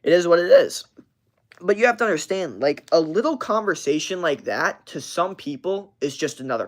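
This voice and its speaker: A man's voice: a little high, a bit bright. A man in his twenties, hosting a livestream, telling a story in a profane, emphatic and rambling way. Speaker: livestream host. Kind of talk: casual story time on a livestream.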